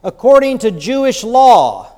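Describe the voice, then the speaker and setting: A man's voice: very high-pitched, sing-song, measured pace, warm tone. A man preaching a sermon as bishop, telling the story in a conversational way with rhetorical asides. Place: church sanctuary. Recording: long church reverb.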